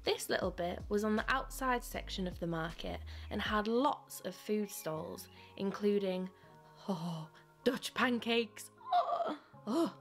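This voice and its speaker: A woman's voice: medium pitch, animated, slow pace, faint music behind. A young woman from England, gushing and enthusiastic as she narrates a vlog and shows off her haul from home. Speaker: vlogger. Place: living room at home, sitting on floor.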